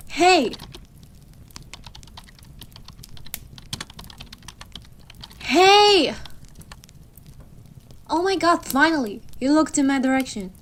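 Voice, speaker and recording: A woman plays a needy wolf girlfriend with a high voice and slow, drawn-out delivery. She is bossy yet affectionate, pouting and teasing in an intimate roleplay audio aimed right at the listener. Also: animated, fairly bright tone, slight background noise, heavily acted. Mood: exasperated, pouty. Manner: pouty, pleading, teasing. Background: computer keyboard typing.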